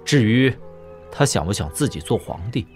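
A man playing a wry, expressive lord. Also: mid-range voice, normal speed, faint music behind, natural voice. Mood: sardonic, pensive. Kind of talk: Chinese wuxia audio drama acting.

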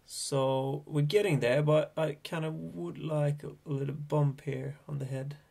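A man in his thirties with a Swedish accent, a Swedish native speaker explaining fly tying in a relaxed, hesitant way from a small home workshop. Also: on the high side, measured pace, vocal fry. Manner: relaxed, hesitant, thinking aloud. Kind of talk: casual tutorial narration.

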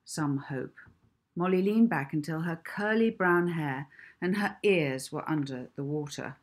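A woman in her fifties reading her own children's book aloud as an expressive, deliberate storyteller. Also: sits low. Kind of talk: children's audiobook narration. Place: small indoor room at home.

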